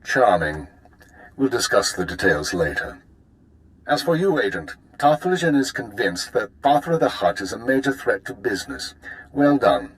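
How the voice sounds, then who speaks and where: An actor playing a composed, authoritative imperial spymaster in a video game, speaking in a formal, measured way. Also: male voice, fairly low pitch, poor audio. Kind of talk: video game character dialogue.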